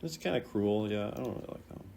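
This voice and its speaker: Lively man commentating, low voice, faint noise. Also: fast, creaky. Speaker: video host rating game voice lines. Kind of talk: casual off-the-cuff commentary.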